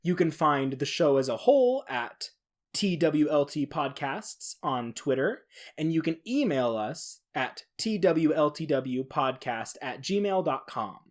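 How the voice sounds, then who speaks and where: A man's voice: fairly high pitch, animated, unhurried. A man who hosts a podcast, speaking on his own. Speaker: podcast host. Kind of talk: dramatic reading of a science-fiction horror log; casual podcast sign-off.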